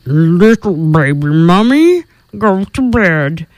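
A woman voicing an oozy swamp blob monster in a children's story, dropping to a low, creeping whisper. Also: very animated, takes it slowly, no room sound. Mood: creepy.

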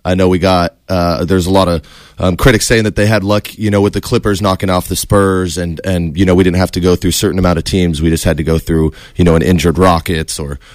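A man's voice: low-pitched, fairly even intonation, a little fast, dry recording. A man who produces and co-hosts a casual sports radio show, talking in a laid-back, hesitant way and repeating words as he thinks aloud. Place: radio studio booth. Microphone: studio mic.

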